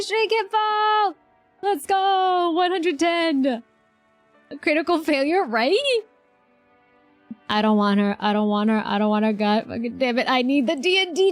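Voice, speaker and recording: A woman's voice: high voice, lively intonation, moderate pace, dry recording, faint music behind. A woman livestreaming a game, chatting casually with viewers in an effusive, exclamatory way. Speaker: game livestreamer reacting to a quest. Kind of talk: casual livestream chat and reactions.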